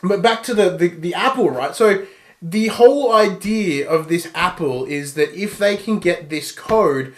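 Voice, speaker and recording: A man's voice: high voice, expressive, measured pace. An Australian man co-hosting a casual film review chat, speaking bluntly and mockingly with plenty of swearing. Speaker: podcast co-host. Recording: echoey room.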